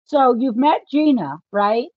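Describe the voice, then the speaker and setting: High-voiced woman chatting about books. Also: animated, measured pace, no echo. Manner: explanatory, enthusiastic fan. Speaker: podcast co-host. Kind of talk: casual book discussion. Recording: slightly dull, dry recording.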